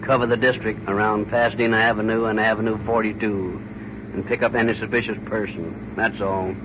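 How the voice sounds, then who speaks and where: Cop dispatcher guy, flat monotone, muffled noisy old radio. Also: on the low side, fairly quick. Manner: monotone, clipped, official. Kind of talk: flat police radio bulletin.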